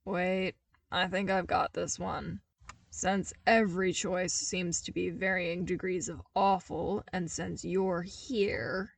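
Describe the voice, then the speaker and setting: A woman voicing a skeptical, terse young man in a story reading, questioning in a measured, dubious way. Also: lowish voice, level tone, vocal fry, full character voice. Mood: resigned. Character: skeptical, terse young man, sheltered core-worlder friend.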